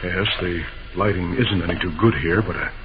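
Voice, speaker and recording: An actor playing an anxious, secretive banker in an old-time radio drama, urgent, confiding and insistent. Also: male voice, sits low, steady tone, normal speed, dull audio, loud music behind the voice, lightly acted. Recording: very noisy, thin old radio recording.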